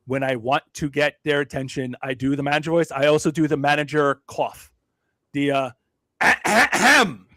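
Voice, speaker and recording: A man from Brooklyn talking as a podcast guest, measured and self-deprecating, with frequent hedges and restarts. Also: a little high, bright, forceful tone, no room sound. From Brooklyn, New York. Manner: frequent hedging fillers and restarts. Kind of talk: casual interview conversation.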